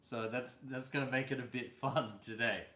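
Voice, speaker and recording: A man's voice: mid-range voice, fairly even intonation, normal speed, sharp tone. Guy chatting on a home live stream, laid back, easy laughs, roomy echo. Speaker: vlog host. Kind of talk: casual live-stream chat. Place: indoors at home.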